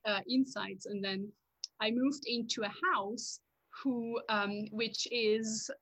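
A woman's voice: a little high, fairly even intonation, takes it slowly, fairly bright tone, rough recording. A woman from Switzerland, a podcast guest, chatting casually and laughing often over a video call. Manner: laughs often, talkative, thinks aloud. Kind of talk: casual chat.

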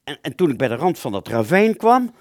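Actor as anxious, stammering wounded airman, highly animated. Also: no echo, clean studio sound. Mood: haunted recounting. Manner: agitated, stammering, halting. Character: anxious, confused wounded airman in a hospital bed. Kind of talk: Dutch radio drama, first-person narration and dialogue.

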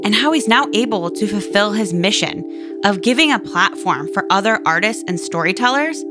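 A woman's voice: medium pitch, expressive, moderate pace, over loud music. A woman hosting an interview podcast, friendly and upbeat. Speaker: host.

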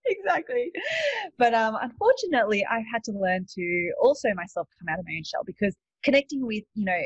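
A woman's voice: fairly high pitch, big pitch swings, brisk, husky, no echo. A woman with an Australian accent, a guest coach chatting casually, laughing often and using many fillers. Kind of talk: casual webinar talk. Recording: dull lo-fi dry sound.

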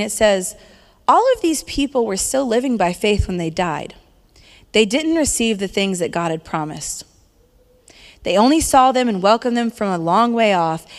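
Upbeat woman preaching in a reverberant church. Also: fairly high pitch. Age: 30s. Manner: conversational, upbeat, laughs between points. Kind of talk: sermon. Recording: long hall reverb.

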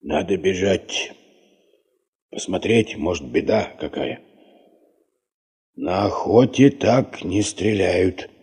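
An actor in a radio play playing a kindly, anxious old grandfather who speaks in halting short phrases. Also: animated, exaggerated voice. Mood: worried. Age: older. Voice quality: husky, soft, low. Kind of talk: Russian radio play acting.

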